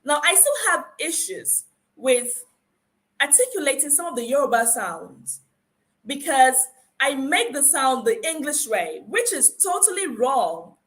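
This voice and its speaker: Bright Nigerian woman teaching phonics. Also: sits high, very animated, unhurried. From Akwa Ibom, Nigeria. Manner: encouraging, emphatic, repeats key points. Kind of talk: conference lecture.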